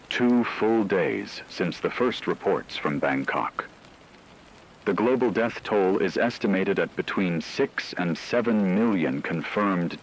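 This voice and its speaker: Low-voiced grave radio newsreader played by a man. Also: a little hiss or hum, rough recording. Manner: measured, formal, factual delivery. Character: grave male radio newsreader reporting a zombie apocalypse. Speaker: news anchor. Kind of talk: scripted radio news bulletin.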